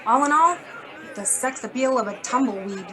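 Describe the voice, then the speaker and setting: An actress playing a brisk, blunt, wisecracking female editor in a hard-boiled radio drama. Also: high-pitched, animated, fairly bright tone, a faint crowd. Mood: businesslike. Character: brisk, sardonic, worried female magazine editor.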